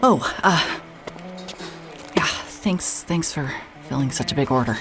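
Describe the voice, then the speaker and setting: An actress playing a weary, evasive woman in an audio drama, speaking haltingly and apologetically. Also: low-pitched, lively intonation, fairly bright tone, soft background music, full character voice. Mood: awkward, uneasy. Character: weary, evasive woman, a traveller staying at a motel. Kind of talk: naturalistic audio drama acting.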